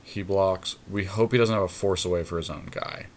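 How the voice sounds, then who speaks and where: A man co-hosting a podcast, casually commentating on a game and thinking out loud as he reasons through each move. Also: deep voice, steady tone, normal speed, slight background noise. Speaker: podcast co-host.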